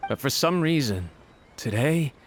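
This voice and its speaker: A man's voice: medium pitch, expressive, unhurried, a little hiss or hum, natural voice. An actor playing an anxious young male servant in a video game, hesitant, deferential and loyal. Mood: worried, apologetic.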